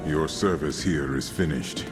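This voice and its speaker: An actor playing a grave, noble archangel in a video game, speaking solemnly and deliberately. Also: male voice, extremely low pitch, over loud music. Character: grave, noble male archangel, weary and commanding. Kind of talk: cinematic video game acting.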